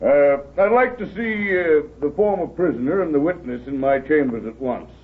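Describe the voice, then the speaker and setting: An American actor playing an authoritative small-town judge with an official, deliberate manner in a 1930s radio drama. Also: male voice, a little high, expressive, natural voice. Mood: stern, official. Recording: tinny, noisy, distant-sounding 1930s radio recording.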